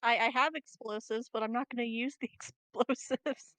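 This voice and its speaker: A woman's voice: fairly high pitch, fairly even intonation, normal speed, a bit bright. A woman chatting casually as a player in a tabletop roleplaying game.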